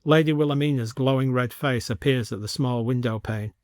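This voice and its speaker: A man's voice: medium pitch, fairly quick, darkish tone, no room sound, clean studio sound. A man narrating a scripted supernatural story.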